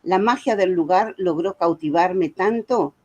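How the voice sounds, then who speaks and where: A woman's voice: on the low side, unhurried, darkish tone, muffled recording. Older Argentine woman giving a talk. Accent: Argentine, Buenos Aires. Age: 70s. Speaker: guest speaker, author and music educator. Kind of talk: prepared talk with informal asides.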